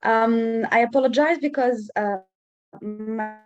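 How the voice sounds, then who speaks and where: A woman's voice: on the high side, darkish tone, soft background music. Woman on a muffled video call. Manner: careful, halting delivery. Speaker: panelist. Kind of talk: online panel introduction. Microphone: video call, camera off.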